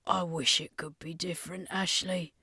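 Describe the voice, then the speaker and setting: A woman voicing a world-weary but tender lady guardian in a narrated story, speaking with soft regret. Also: on the low side, fairly even intonation, normal speed, slightly rough, no echo, clean studio sound. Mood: tender, sorrowful.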